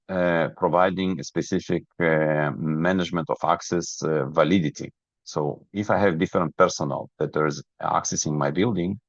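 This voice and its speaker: A man who is a non-native English speaker presents a software webinar, explaining each step methodically. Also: deep voice, gravelly. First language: not English. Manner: methodical, step-by-step, checks understanding. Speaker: webinar presenter, product trainer. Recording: roomy, dull lo-fi webinar audio.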